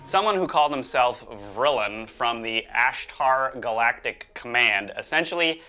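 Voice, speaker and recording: A man's voice: mid-range voice, animated, unhurried, bright, forceful tone. A man co-hosting a show, explaining things in an informal, semi-scripted conversational style. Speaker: co-host.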